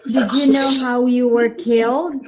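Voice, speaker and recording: Woman, bright high pitch, pleading questioning manner. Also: fairly even intonation, unhurried.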